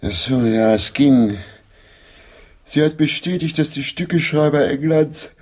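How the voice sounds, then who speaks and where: Gruff old-hand theatre player, blunt, takes his time, radio drama. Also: fairly high pitch, measured pace, darkish tone. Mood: sleepy, grumbling. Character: drowsy, gruff, loyal senior player of a theatre troupe. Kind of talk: German radio play acting.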